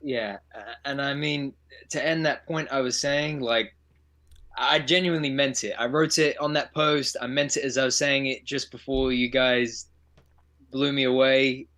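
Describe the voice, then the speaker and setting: A man's voice: mid-range voice, steady tone, normal speed, sharp tone. A man co-hosting a live stream, speaking earnestly and from the heart in long run-on sentences. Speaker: co-host. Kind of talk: casual live stream chat.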